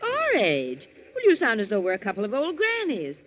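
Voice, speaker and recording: A woman playing an affectionate widowed bride in her thirties in a 1940s radio drama, with an animated delivery. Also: a little high, moderate pace. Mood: playful. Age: 30s. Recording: muffled old radio, crowd noise behind.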